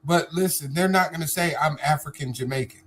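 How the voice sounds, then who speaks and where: A man's voice: on the high side, brisk, a bit bright. A man co-hosting a live stream talk, arguing assertively with rhetorical questions and repeated starts. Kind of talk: casual debate chat.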